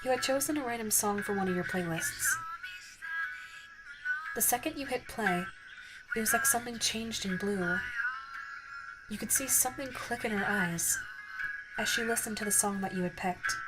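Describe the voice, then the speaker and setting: A woman's voice: fairly high pitch, moderate pace, pauses often, loud music behind the voice, low-quality recording. A woman narrating a second-person ASMR roleplay story as a scripted storyteller. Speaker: narrator and voice actor.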